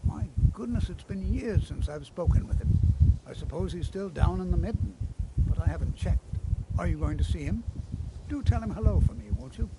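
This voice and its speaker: A man's voice: high-pitched, lively intonation, normal speed. A man acting a kindly, absent-minded elderly wizard teacher in a fantasy video game, speaking politely with slight hesitation. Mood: kindly, concerned. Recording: loud noise behind the voice.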